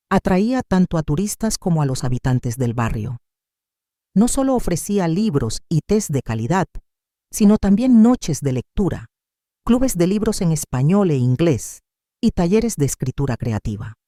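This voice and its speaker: A narrator reading a scripted first-person story in a measured, sentimental way. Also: medium pitch, warm tone, no echo, studio quality.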